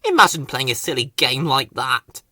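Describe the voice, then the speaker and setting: A man voicing a cheeky, excitable small animal from a children's storybook, squeaky and gushing. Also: normal speed, no echo, studio quality, exaggerated voice. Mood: teasing. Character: giggly, cheeky little garden animal, excitable.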